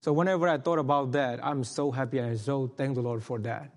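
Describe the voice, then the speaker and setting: A man's voice: mid-range voice, fairly even intonation. Earnest man preaching in a hall. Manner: earnest, halting, many restarts and fillers. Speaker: missionary speaker. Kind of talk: sermon testimony. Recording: long hall reverb.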